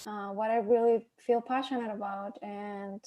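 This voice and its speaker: A woman from Peru, a podcast host chatting as a guest, hesitant with many pauses and laughs. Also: mid-range voice, fairly even intonation, lo-fi sound. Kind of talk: casual interview chat.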